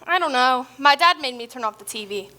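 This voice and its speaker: A woman playing a chatty, dreamy young schoolgirl in a stage musical, moving between sung narration and quick dialogue. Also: high voice, lively intonation, sharp tone. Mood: chatty, explaining. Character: girl from New Jersey, dreamy and procrastinating. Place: theater stage.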